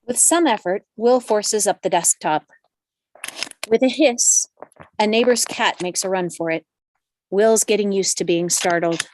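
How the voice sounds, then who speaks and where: A woman's voice: medium pitch, measured pace, darkish tone, rough recording. A woman reading screenplay action lines as scripted narration in a live table read. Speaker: reader of screenplay stage directions.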